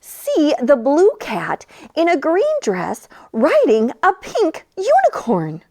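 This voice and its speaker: Storytime lady for little kids, playful and super animated, high voice, takes her time. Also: takes it slowly. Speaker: library storytime presenter. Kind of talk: children's storytime, chatty and read-aloud.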